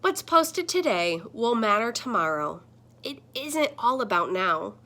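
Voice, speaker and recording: Storybook talking computer screen, read by a woman: bright, unhurried delivery, admonishing mentor, emphatic. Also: a little high, sing-song, heavily acted. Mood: encouraging. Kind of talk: children's picture book read-aloud.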